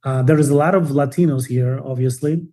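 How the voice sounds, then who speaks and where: A man's voice: medium pitch, brisk, warm tone. A man in his forties, a native Ukrainian speaker raised in Cuba and Ukraine, chatting thoughtfully as an interview guest, often restarting sentences. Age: 40s. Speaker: podcast guest, photographer. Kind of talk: relaxed interview conversation.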